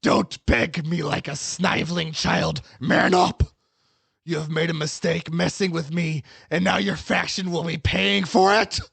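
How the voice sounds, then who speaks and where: A man's voice: medium pitch, takes it slowly, vocal fry, breathy edge, no echo, heavily acted. Man acting out a snarling, vengeful young antihero, dry sound. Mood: furious, scornful. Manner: taunting, snarling, commanding. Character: vengeful, menacing young male antihero with demonic rage. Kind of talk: hobby audio drama acting.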